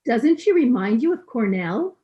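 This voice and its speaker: Woman playing a high, gushing society lady. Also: big pitch swings, moderate pace, breathy edge. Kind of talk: 1940s radio comedy acting. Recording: dull video-call audio.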